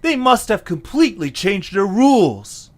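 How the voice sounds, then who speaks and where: A man playing an excitable, earnest young adventurer leading a stranded group in an audio drama. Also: sits high, measured pace. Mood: alarmed. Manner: exclamatory, animated, earnest. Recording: clear background hiss and noise.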